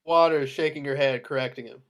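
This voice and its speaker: A man's voice: mid-range voice, steady tone, brisk, fairly bright tone. A man narrating screenplay action in clipped descriptive phrases at an amateur table read. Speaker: narrator reading stage directions.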